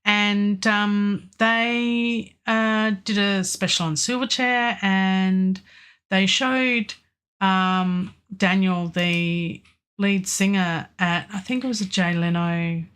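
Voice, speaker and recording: Aussie woman rambling on a live stream about her track, lots of ums, voice a bit rough from a cough. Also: medium pitch, steady tone, slow, fairly bright tone. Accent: Australian. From Australia. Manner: chatty, rambling, many ums and restarts. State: recovering from a virus, lingering cough and weak voice. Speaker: music producer streaming live.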